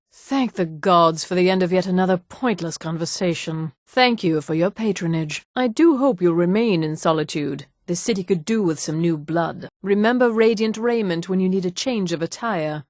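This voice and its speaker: Woman playing a haughty high elf lady, low and dry. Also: a little mellow, dry recording, lightly acted. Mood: sarcastic, bored, then haughty, courteous. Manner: haughty, condescending, precise and polished. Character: haughty, refined female high elf townswoman and shopkeeper. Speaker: video game character. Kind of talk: scripted game dialogue.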